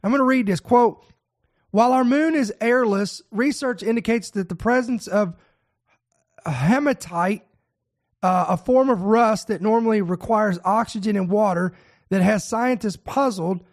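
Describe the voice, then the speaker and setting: Congested nasal man hosting a podcast. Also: high voice. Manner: earnest, preacher-like emphasis, stretches key words slowly. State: congested from a head cold. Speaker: podcast host. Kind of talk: prophecy news podcast, reading articles aloud.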